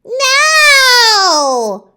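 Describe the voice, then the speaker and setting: A woman voicing an excitable witch in a children's storybook. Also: very high voice, drawn-out words, a little hiss or hum, pitched above the performer's own voice, full character voice. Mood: frightened cry. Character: excitable, grateful storybook witch who shrieks and chants.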